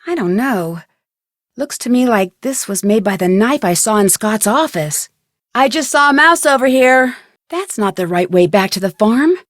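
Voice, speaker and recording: A woman's voice: fairly high pitch, lively intonation, normal speed, no echo, clean studio sound. A woman voicing a plucky, curious young female detective in a video game, speaking earnestly and conversationally as if thinking aloud. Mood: matter-of-fact. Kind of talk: scripted video game voice acting.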